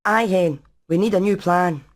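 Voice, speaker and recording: Woman acting a canny female hen inventor, Scottish accent, brainy, technical, practical, animated game character. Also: on the low side, moderate pace, lightly acted. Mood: thoughtful. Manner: technical, matter-of-fact.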